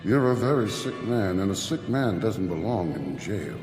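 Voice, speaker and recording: Suave, low-voiced gentleman villain. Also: sing-song. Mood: smoothly menacing. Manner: polished, condescending, deliberate. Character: suave, sinister gentleman villain feigning concern. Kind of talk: radio drama acting. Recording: reverberant hall, loud music underneath.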